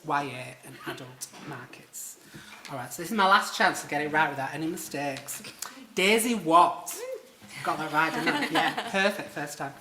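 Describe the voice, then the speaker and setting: Bright, chatty Yorkshire man on stage. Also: fairly high pitch, slight background noise. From Leeds, England. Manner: chatty, self-deprecating, many ums. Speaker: panel moderator. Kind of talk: live panel introductions. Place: theatre stage before an audience. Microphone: stage microphone. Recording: roomy theatre sound.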